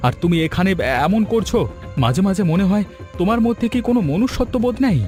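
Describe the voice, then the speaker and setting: A man playing a supportive young husband in a cartoon, firm and quick to protest. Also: high-pitched, expressive, a little mellow, soft background music. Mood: indignant. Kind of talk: Bengali cartoon voice acting.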